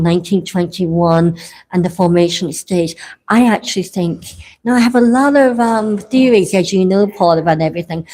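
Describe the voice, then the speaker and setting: Mellow-voiced woman, earnest. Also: medium pitch, poor audio. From Northern Ireland. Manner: earnest, rambling, with frequent restarts and soft laughs. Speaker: political show co-host. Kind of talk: casual political chat.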